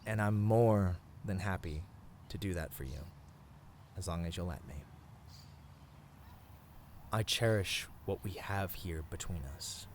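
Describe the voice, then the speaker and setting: A man playing a tender, sappy boyfriend in a romantic ASMR roleplay, speaking softly and earnestly to the listener with small hesitant stammers. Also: deep voice, measured pace, pauses often, slight background noise. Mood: tender, adoring. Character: tender, sappy boyfriend confessing love on a picnic.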